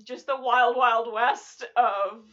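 A woman's voice: a little high, fairly even intonation, takes it slowly, a bit bright, muffled recording. A woman co-hosting a podcast in casual chat, restless and laughing often, swearing casually and drifting off the mic. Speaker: podcast co-host.

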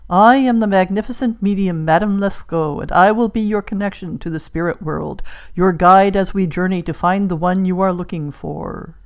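A woman voicing a mysterious, grandiose spirit medium in an audiobook, speaking in a dramatic, deliberate way. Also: on the low side, lightly acted. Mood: grand, mysterious. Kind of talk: audiobook character acting.

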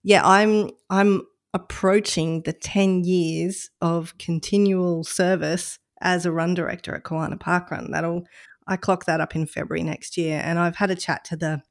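Low-voiced woman in easy chat. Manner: chatty, reflective, self-deprecating. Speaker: podcast co-host, run director. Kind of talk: casual podcast chat.

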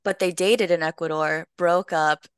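A young woman from Long Beach, California, a podcast guest telling her story in a relaxed, playful way. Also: on the low side, a little slow, smooth, no echo, clean studio sound. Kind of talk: casual conversation.

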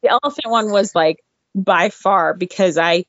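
Lively woman telling stories, dull dry audio. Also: medium pitch, normal speed, dry recording. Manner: energetic, laughs often, jumps into exclaimed asides. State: losing her voice. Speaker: guest, former veterinary intern. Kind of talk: animated personal storytelling.

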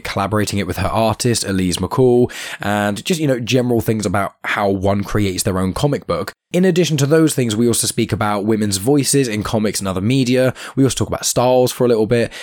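Young man, podcast host, rapid chatty delivery, dry close sound, slightly croaky. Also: on the low side, dry recording. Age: 20s. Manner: chatty, quick, laughs often. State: slightly croaky, losing his voice. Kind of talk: casual interview chat.

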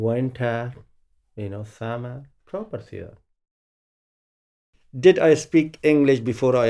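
A middle-aged man from Afghanistan speaks English with an Afghan accent. His first language is Pashto, and he searches for words, filling the gaps with "uh" and "you know". He pitches his voice in the ordinary middle range for a man. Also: warm tone. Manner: halting, searching for words, many fillers. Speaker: interviewee, former journalist. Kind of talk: oral history interview.

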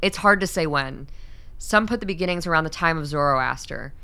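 An actress playing a stern, zealous woman scientist in a radio play, speaking in a deliberate, formal, earnest way. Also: sits low, pushed and bright, smooth. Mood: solemn, persuasive. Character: stern, zealous woman doctor, resistance scientist with military authority.

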